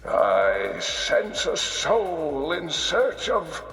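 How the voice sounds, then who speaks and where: Elderly wise scholar, gravelly, drawn-out solemn delivery, wry game announcements, big hall reverb. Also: male voice, on the high side, big pitch swings, fairly bright tone, full character voice. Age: older. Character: kindly old male scholar sage making theme park announcements. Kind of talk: scripted game announcer voice lines. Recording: echoing hall reverb, music behind.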